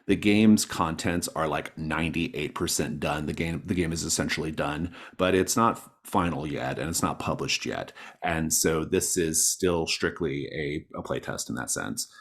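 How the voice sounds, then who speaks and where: A man's voice: rumbling low, normal speed, hardly any pauses. A man hosting an online tabletop game session as game master, introducing it casually. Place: online group call.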